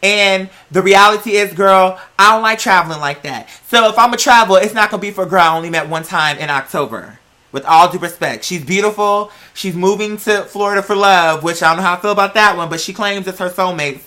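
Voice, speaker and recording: An American vlogger telling personal stories in a candid, chatty, slangy way, with drawn-out words for emphasis. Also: medium pitch, sharp tone. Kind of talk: casual personal storytelling to viewers.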